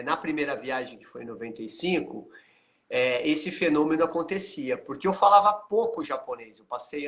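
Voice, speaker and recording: A man hosting a live interview, telling stories with lively asides. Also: fairly high pitch. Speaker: host, martial arts teacher.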